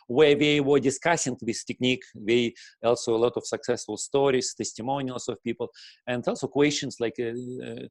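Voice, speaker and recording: Russian-accented man, halting and dry. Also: medium pitch, no room sound. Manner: halting, many fillers and restarts, clears throat often. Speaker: guest, breathing teacher. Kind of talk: casual interview answer.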